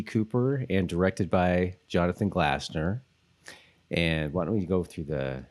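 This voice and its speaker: A man's voice: deep voice, darkish tone. A man co-hosting a podcast, chatting casually in a loose, rambling way as he thinks aloud. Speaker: podcast co-host. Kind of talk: casual chat about a TV episode.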